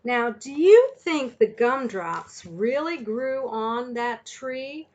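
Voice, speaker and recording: Woman, higher-pitched voice, very unhurried delivery, reads storybooks and gives simple lessons to small children with questions. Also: lively intonation, a little mellow, highs cut off. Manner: slow, deliberate, addressing children with questions. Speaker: children's story reader and teacher. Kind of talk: picture book read-aloud and lesson for young children.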